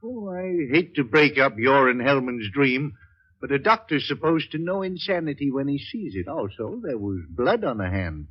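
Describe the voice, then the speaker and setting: An actor playing a boozy, sarcastic doctor sidekick in a 1940s radio crime drama, theatrical and rambling. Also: male voice, medium pitch, big pitch swings, normal speed, slightly rough. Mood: wry, speculative. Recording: dull lo-fi 1940s radio recording.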